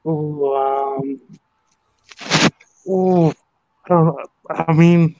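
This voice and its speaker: A man's voice: a little high, fairly even intonation, very slow, noisy background. A man from the United States in a casual chat, talking hesitantly and searching for words. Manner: hesitant, many fillers and restarts. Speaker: guest. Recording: dull, dry sound.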